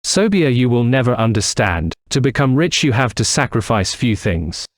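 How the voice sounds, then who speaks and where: A man's voice: mid-range voice, big pitch swings, normal speed, no room sound, lightly acted. Man playing a stubborn farmer, dry close sound. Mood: irritated. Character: stubborn, dismissive village farmer husband. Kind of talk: cartoon moral story dialogue.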